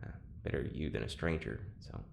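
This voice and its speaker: An actor playing a guarded, awkward, reluctant male test subject in an audio drama, speaking in a halting, subdued way. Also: very deep voice, gravelly, a little hiss or hum, full character voice. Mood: reluctant, apologetic. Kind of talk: naturalistic audio drama acting.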